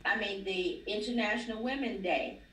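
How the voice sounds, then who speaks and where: A woman's voice: mid-range voice, moderate pace, a bit bright, slight background noise. A woman guest in a casual livestream interview, an educator who talks emphatically and repeats phrases for stress. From Nashville, Tennessee. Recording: roomy, distant, faint ambience.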